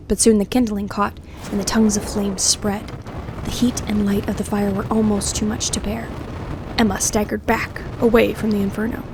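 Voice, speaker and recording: A woman narrating scripted fiction in an expressive, suspenseful, dramatic way. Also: mid-range voice, noisy background. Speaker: story narrator.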